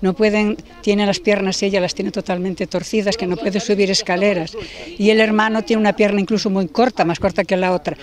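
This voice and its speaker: An older woman speaking as a neighbor in an outdoor interview, explaining earnestly and deliberately into a handheld mic. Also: medium pitch, steady tone. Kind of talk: street interview. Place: outdoors in a garden. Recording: outdoor interview with crowd behind.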